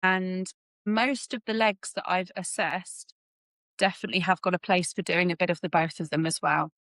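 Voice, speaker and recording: A woman's voice: on the low side, fairly even intonation, moderate pace, fairly bright tone, no room sound. A woman chatting casually as a healthcare professional on a mentoring call.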